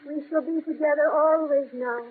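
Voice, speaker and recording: An actress voicing the ghost of a dead bride, speaking in a hushed, even, haunting way in 1940s radio drama style. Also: female voice, sits high, moderate pace, lightly acted. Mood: eerie. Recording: tinny old radio transcription, faint hiss.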